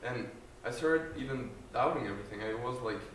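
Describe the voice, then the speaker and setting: An earnest young man from Guatemala gives a personal faith testimony to a group, pausing often, restarting sentences and filling gaps with ums. He speaks without a mic, so his voice sounds distant. The room is echoey and has faint ambient noise behind him. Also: mid-range voice. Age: young adult. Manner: hesitant, earnest, many fillers and restarts. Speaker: student giving testimony. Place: large room with an audience. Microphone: no mic, distant recorder.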